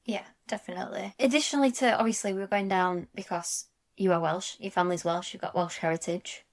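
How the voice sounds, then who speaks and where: A woman co-hosts a casual podcast, her voice at a middle pitch with a noticeable creaky fry. She is chatty and quick to agree and jump in. She keeps the conversation moving along. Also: fairly even intonation. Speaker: podcast co-host. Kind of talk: casual chat.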